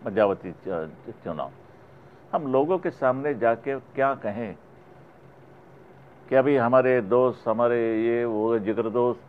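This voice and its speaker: A man making spontaneous political remarks, halting and repeating words, in a narrow, slightly noisy recording. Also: medium pitch. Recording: muffled phone-like archive audio, noisy.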